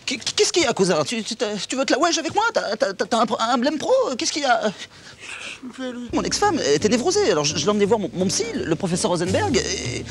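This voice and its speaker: A man's voice: high voice, very animated, quick pace. A man playing a neurotic, stammering intellectual who rambles anxiously and repeats words. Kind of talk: sketch comedy.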